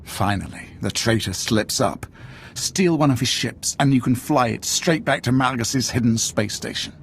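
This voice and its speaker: An actor playing a stern, scornful Imperial commander in a game, commanding and contemptuous. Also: male voice, medium pitch, normal speed, slight background noise, lightly acted. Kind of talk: video game cutscene acting.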